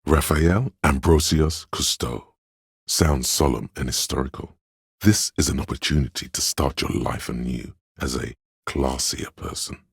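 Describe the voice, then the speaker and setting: An actor playing the grandiose, artsy inner voice of a detective's imagination in a video game, narrating in a dramatic, florid, deliberate way. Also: male voice, dry recording, studio quality, full character voice. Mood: pompous, musing. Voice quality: gravelly, husky bass. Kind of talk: theatrical, literary game narration.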